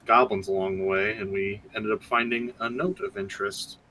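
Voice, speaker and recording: Guy playing dnd, kinda low but bright, stumbly, lots of uhs. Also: steady tone, rough recording. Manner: hesitant, halting. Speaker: tabletop game player. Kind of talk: playing his character in casual play.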